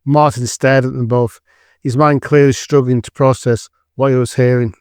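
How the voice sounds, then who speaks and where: Smooth male story narrator. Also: medium pitch, flat delivery, normal speed, darkish tone, no echo, clean studio sound.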